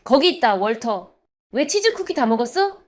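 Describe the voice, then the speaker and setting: A woman's voice: fairly high pitch, sing-song, very fast. A woman playing a scolding, bickering sister in a cartoon comic dub, with an accusing manner.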